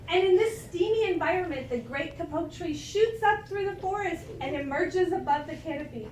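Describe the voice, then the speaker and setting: A woman teacher doing an expressive read-aloud for her class, stopping to give them prompts. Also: extremely high pitch, moderate pace, a little hiss or hum. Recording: echoey classroom from far off.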